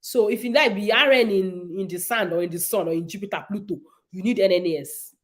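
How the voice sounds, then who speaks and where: A woman's voice: medium pitch, a little fast. A woman, hosting a casual livestream and answering viewers' nursing questions in an emphatic, repetitive way.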